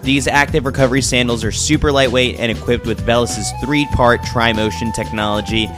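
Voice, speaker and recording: A man hosting a podcast, reading a scripted newsletter as narration. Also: mid-range voice.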